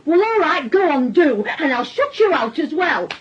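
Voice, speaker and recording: A woman's voice: high-pitched, very animated, moderate pace. An actress playing a bitter, wounded working-class mother with a Northern English accent in a radio drama. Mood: hurt, furious. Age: middle-aged. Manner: accusing, wounded, emotional. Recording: dull radio drama recording.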